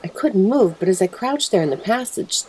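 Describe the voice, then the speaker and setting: A woman voicing an anguished, plainspoken mother wolf in a dramatic first-person story reading, grave and emotive, with a husky, breathy voice. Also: medium pitch, lively intonation, moderate pace, dark tone, pitched above the performer's own voice, low-quality recording. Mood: horrified, anguished. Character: mother wolf telling of her husband's horrifying change, anguished, plainspoken.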